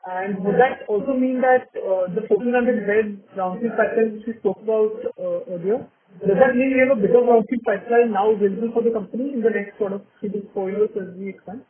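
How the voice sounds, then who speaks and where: A man's voice: sits high, brisk. A man with an Indian accent politely asking questions as an analyst on an earnings call. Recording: thin phone line.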